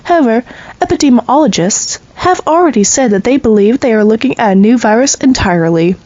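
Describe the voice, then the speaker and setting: A woman's voice: a little high, expressive, fairly quick, a little mellow, no echo, lo-fi sound. A woman playing a radio news anchor, reading serious headlines in a formal newsreader delivery. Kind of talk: scripted radio news report.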